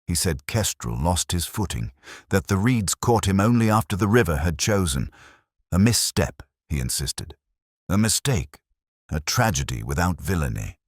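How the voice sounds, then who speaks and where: A man narrating a first-person detective story in a solemn, measured, literary manner with a husky voice. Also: sits low, big pitch swings, no echo, clean studio sound.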